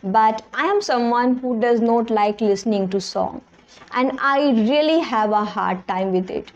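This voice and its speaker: A woman's voice: a little high, measured pace, darkish tone, muffled recording. A young woman whose first language is Hindi talks earnestly to the camera in her bedroom about learning English. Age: young adult. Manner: earnest, plain and direct. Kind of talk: casual talk to camera. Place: small bedroom indoors. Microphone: camera in front at close range.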